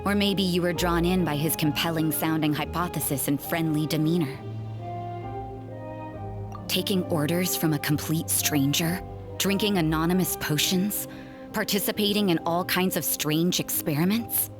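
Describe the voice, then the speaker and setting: Woman acting a low-voiced, stern, suspicious nun. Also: steady tone, music in the background, close to an everyday voice. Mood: suspicious, accusing. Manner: cool, dry, deliberate, suspicious. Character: stern, wary, world-weary sardonic nun investigator, adult woman. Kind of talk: anime fantasy video game voice acting.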